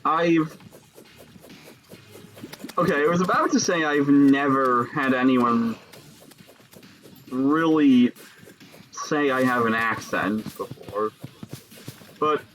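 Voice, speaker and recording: A young man from Chicago with a Chicago accent, chatting casually with viewers during a game stream and musing between pauses. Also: mid-range voice, fairly bright tone, faint music behind, low-quality recording. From Chicago, Illinois. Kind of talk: casual live-stream chat while gaming.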